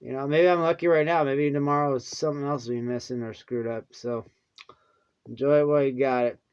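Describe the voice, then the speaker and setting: A man talks to his viewers in a loose, rambling monologue. He restarts often and trails off into soft mumbles, then brightens again. His voice sits at a middle pitch. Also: steady tone, smooth. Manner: halting, many restarts and fillers, mumbles softly then perks up. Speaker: vlogger.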